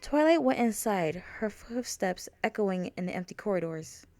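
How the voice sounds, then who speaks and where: A woman's voice: mid-range voice, lively intonation, normal speed, darkish tone, no echo. A woman reading out her own fan fiction as a scripted storybook narration.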